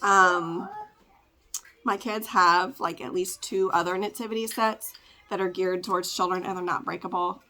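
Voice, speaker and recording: A woman with a congested, raspy voice, chatting casually as she packs orders to ship at home, rambling with lots of fillers. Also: lowish voice, moderate pace, bright, forceful tone. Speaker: part-time online reseller. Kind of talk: casual ship-with-me vlog chat.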